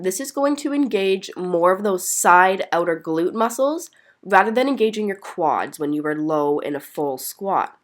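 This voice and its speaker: A woman with a slightly stuffy, congested voice from being sick, narrating exercise instructions as a fitness vlogger in an encouraging, explanatory way. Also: on the low side. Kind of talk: instructional workout voice-over.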